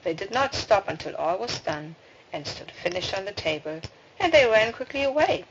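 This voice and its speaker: A woman reading a fairy tale aloud as a storybook narrator, with expressive delivery. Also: mid-range voice, moderate pace. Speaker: storybook reader. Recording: dull, noisy home recording with clicks.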